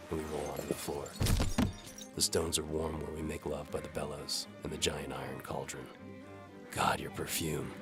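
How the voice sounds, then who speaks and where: A man playing a sardonic, world-weary bow for hire, narrating his own story in noir audio drama style. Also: very deep voice.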